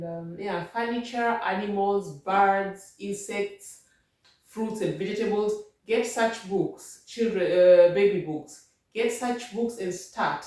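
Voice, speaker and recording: Kenyan woman, casual home vlogger, patient and repetitive; boomy echoing room, off-mic. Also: distant mic. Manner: repetitive, restarts and rephrases, patient explaining. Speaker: vlogger giving language-learning tips. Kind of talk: casual advice talk.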